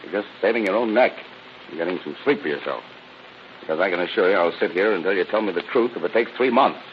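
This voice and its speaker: An actor playing a relentless, tired, hard-boiled police lieutenant, stern and badgering, in a 1930s radio drama. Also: male voice, low voice, quick pace, lightly acted. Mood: weary, pressing. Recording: dull, noisy vintage radio recording.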